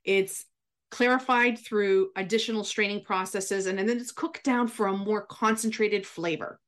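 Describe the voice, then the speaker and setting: A woman's voice: medium pitch, steady tone, moderate pace. A woman guest giving cooking advice in a chatty, instructive radio style, often checking in with "right?". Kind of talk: explanatory radio chat.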